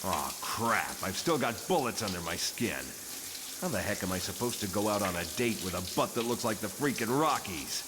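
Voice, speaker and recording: An actor playing a gruff, blunt, wisecracking tough mutant brawler in a motion comic. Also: male voice, lowish voice, very animated, normal speed, noisy background. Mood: grumbling. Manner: blunt, crude, sardonic.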